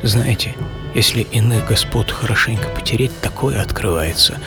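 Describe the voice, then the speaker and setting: An actor playing an aged, wry psychoanalyst who recalls his life in a theatrical radio-play monologue with pauses. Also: lowish voice, close to an everyday voice. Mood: reflective, wry. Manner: theatrical, short phrases with pauses. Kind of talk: dramatic first-person monologue, radio play. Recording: music behind the voice.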